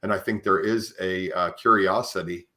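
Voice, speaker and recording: A man hosting a conversational interview, speaking in a halting way as he searches for his words and shares his own thoughts. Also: deep voice, fairly even intonation, pushed and bright. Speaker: podcast host. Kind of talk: conversational interviewing with personal commentary.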